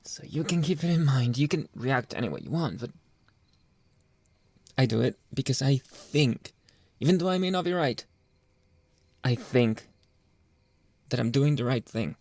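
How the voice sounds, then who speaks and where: A man playing a jealous, controlling young boyfriend in an intimate ASMR roleplay, halting and pleading, often dropping toward a whisper. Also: medium pitch, animated, darkish tone, no echo. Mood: earnest, self-justifying. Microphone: close, dry microphone.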